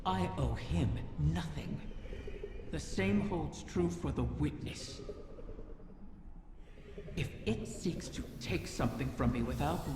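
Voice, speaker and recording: An actor playing an ancient, cunning dragon-like creature in a video game, tempting and vengeful, with a silky, menacing, theatrical delivery. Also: male voice, fairly high pitch, background noise. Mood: brooding menace. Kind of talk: scripted video game dialogue. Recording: cavernous long reverb, music behind. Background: game music.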